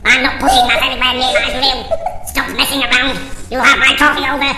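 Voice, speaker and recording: A woman playing an irritable, bossy female alien invader who scolds constantly, with a gravelly voice. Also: high voice, little pitch movement, fast talker, reverberant. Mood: scolding.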